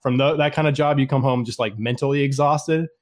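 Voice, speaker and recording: A man in his late thirties hosting a casual interview, chatty and quick, full of fillers. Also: medium pitch, fairly even intonation. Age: 30s. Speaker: podcast host, zookeeper.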